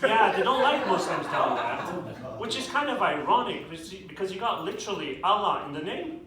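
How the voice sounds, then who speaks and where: A man's voice: high voice, very animated, over a noisy crowd. British-accented male comic in an echoey club. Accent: British English. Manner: dry, self-deprecating punchlines with quick asides. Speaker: stand-up comedian. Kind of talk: stand-up comedy set. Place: comedy club with a live audience. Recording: echoey club, distant mic, audience noise. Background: audience laughter.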